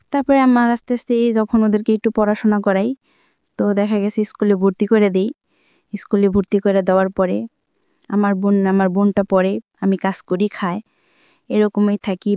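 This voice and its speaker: A woman's voice: medium pitch, mellow, clean tone, dry recording, studio quality. A young woman with a colloquial Dhaka-area Bangladeshi Bengali accent tells her life story in a plain-spoken, repetitive, rambling way. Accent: Bangladeshi Bengali, colloquial Dhaka-area dialect. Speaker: guest telling own life story.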